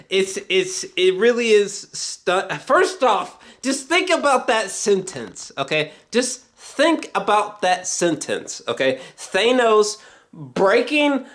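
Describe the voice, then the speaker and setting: A man chatting casually as an amateur film reviewer, geeky and gushing, swearing freely and often stumbling back over his words. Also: a little high. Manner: halting, repeats himself, often breaks into chuckles.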